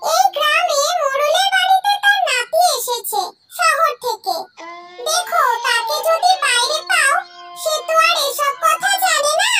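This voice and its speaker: A performer voicing a scheming, bloodthirsty female witch with a shrill, conniving delivery in a cartoon horror tale. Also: expressive, measured pace. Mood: conniving glee. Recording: loud music behind.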